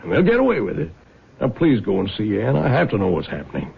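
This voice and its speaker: An actor playing an older small-town lawman, gravelly and probing, dogged but concerned, in 1970s radio drama style. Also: fairly low pitch, fast, heavily acted. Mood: worried, grim. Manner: expressive, probing questioning. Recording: muffled lo-fi vintage radio broadcast.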